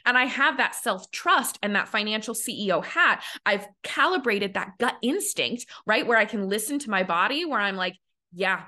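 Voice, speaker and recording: A woman's voice: medium pitch, lively intonation, normal speed, bright, forceful tone. A young woman hosting a podcast as a money coach, talking casually with lots of "like" fillers and acting out the voices she quotes. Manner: chatty, many "like" fillers, acts out quoted voices.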